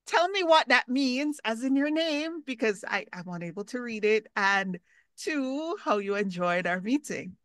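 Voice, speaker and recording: A woman's voice: a little high, bright, forceful tone, dry recording. A woman hosting an online club meeting over a video call, playful and joking between speakers. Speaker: meeting host.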